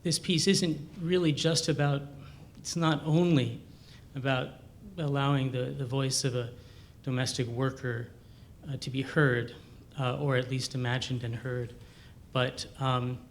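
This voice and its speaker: A man's voice: level tone, a little slow. A man introducing a performance in unscripted talk, hesitant and searching for his words as he goes. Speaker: composer. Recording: noisy room with low rumble.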